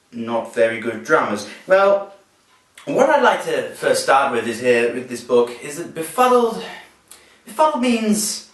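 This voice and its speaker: A man's voice: medium pitch, fairly bright tone. A man reading a comic story aloud in a whimsical, mock-formal way with playful asides. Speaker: storybook reader. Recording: echoey, distant voice with music behind.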